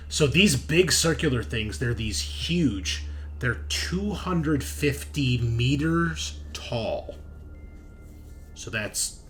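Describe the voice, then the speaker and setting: A man running a tabletop game, narrating casually and informally, often halting as he thinks aloud. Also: mid-range voice, fairly bright tone, a little hiss or hum. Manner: halting, thinking aloud as map details are checked. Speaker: game master.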